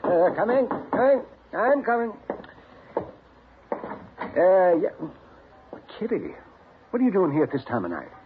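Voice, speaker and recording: An actor in a 1950s radio western playing a wry, skeptical frontier doctor with a dryly grumbling manner. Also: male voice, high-pitched, huge pitch swings, measured pace, pauses often, a little hiss or hum, heavily acted. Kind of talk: 1950s radio drama acting. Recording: tinny old radio broadcast.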